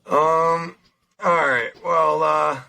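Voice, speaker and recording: Young guy hosting a podcast, bit raspy, lots of ums and restarts. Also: a little high, steady tone, sharp tone, a little hiss or hum. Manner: halting, lots of fillers and restarts. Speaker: podcast co-host. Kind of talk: casual chat, reading news articles.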